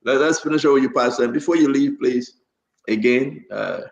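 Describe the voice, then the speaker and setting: A man's voice: medium pitch, a little fast, gravelly, muffled recording. A man hosting a casual live talk show, often repeating phrases for emphasis. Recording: roomy, echoing sound.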